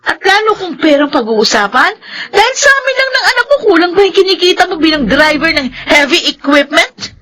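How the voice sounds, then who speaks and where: An actress playing a jealous, domineering partner in a radio drama, scolding and confrontational. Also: female voice, very high-pitched, big pitch swings, brisk, bright, forceful tone, heavily acted. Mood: controlling. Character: shrill, jealous, domineering nagging live-in partner. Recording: noisy radio drama scene.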